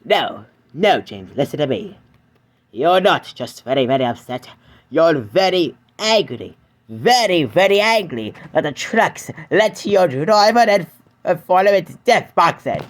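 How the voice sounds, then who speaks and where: A man voicing a calm, patient male engine who counsels a friend in a fan-made cartoon dub. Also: on the high side, voice pushed up. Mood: soothing. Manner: soothing, patient, slow.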